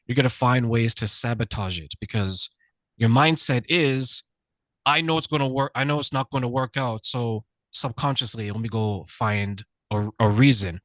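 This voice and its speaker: A man's voice: medium pitch, normal speed, bright, forceful tone, no echo. A male podcast host asking long conversational questions and adding his own commentary.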